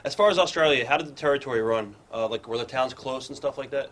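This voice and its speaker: Fast-talking male interviewer. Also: mid-range voice, fairly bright tone. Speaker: interviewer, host. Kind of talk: scripted interview questions.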